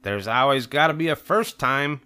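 Man as young girl bear cub, bold, casual, raised pitch, animated storybook character. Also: normal speed, smooth. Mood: bold, daring.

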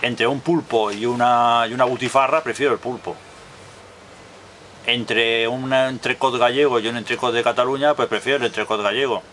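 A man in his fifties from Barcelona speaks straight into a close webcam, with a bright, forceful voice. He states his views emphatically and keeps repeating them. Faint hiss sits behind the voice. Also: mid-range voice, fairly even intonation, normal speed. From Barcelona, Spain. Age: 50s. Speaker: video blogger. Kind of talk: opinionated monologue to camera. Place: indoors in front of a blue wall.

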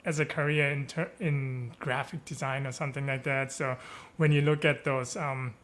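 A man's voice: mid-range voice, fairly even intonation, normal speed, a bit bright, slight background noise. A man in his thirties from Germany, a native German speaker, talking casually over a headset on a video call, with frequent chuckles and fillers. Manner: easygoing, chuckles often, many fillers and tag questions. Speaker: guest. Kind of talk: casual interview chat. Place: home room, video call.

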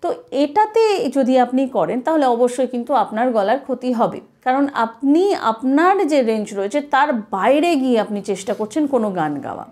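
A woman's voice: high-pitched, expressive, moderate pace, darkish tone. A woman, explaining as a singing teacher in an instructive, emphatic way. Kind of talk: explanatory video lesson.

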